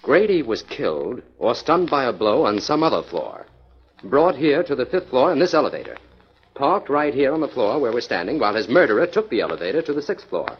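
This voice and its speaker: An actor playing a confident, methodical amateur detective in a 1940s radio drama, explaining with dry irony. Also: male voice, mid-range voice, very animated, a little fast, breathy edge. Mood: confident, insistent. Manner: assured, explanatory, dryly ironic. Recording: dull, lo-fi 1940s radio recording.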